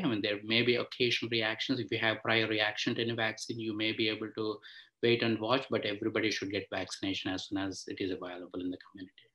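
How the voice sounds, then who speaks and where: A man's voice: medium pitch, fairly bright tone, rough recording. A man speaking as a physician on a video call panel, earnest and advising, with frequent fillers. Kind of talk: panel discussion.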